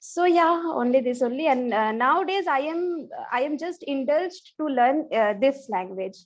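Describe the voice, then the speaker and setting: A woman whose first language is Bangla, speaking English slowly and hesitantly on a video call, with many restarts and fillers as she practices. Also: high-pitched, expressive. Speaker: English learner. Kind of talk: online English practice conversation.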